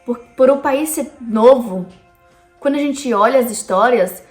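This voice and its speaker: A woman's voice: on the high side, a little slow, darkish tone, roomy sound, soft background music. A Brazilian woman with a Brazilian Portuguese accent, explaining history to her viewers in a chatty vlog. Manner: chatty, addresses viewers directly. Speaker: vlogger. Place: lives in Canada.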